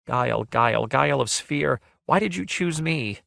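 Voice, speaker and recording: A man voicing a gentle, frightened young maiden in a fantasy story, soft and trembling, with formal archaic phrasing. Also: medium pitch, animated, moderate pace, vocal fry, dry recording, clean studio sound, pitched above the performer's own voice, full character voice. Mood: pleading.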